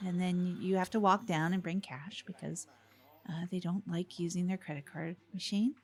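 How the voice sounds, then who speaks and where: A woman playing an earnest, chatty small-town public access radio host who rambles and repeats herself in a halting way. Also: lowish voice, fairly even intonation, slightly rough. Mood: amused. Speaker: co-host of community radio show. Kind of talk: improvised comic audio drama, casual radio chat.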